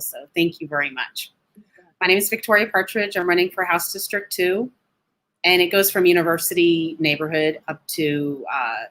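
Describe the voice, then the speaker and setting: A woman's voice: fairly low pitch, sharp tone. A young woman from Colorado, a political candidate answering interview questions earnestly over a video call. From Colorado, USA. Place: home room. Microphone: webcam on a video call.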